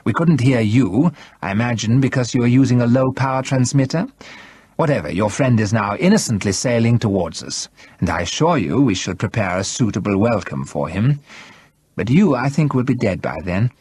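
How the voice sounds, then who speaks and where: A man's voice: mid-range voice, expressive, normal speed, a little mellow, breathy edge, dry recording. A man voicing a mocking, coolly menacing male villain in an audiobook, casual, scornful and mock-polite. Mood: smug, cool. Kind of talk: audiobook character acting.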